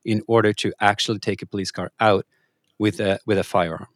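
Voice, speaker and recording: A man from Norway with a fairly low voice, interviewing a guest. He asks long, thoughtful questions, hesitating and pausing as he works them out. The recording is close and dry. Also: steady tone, no echo.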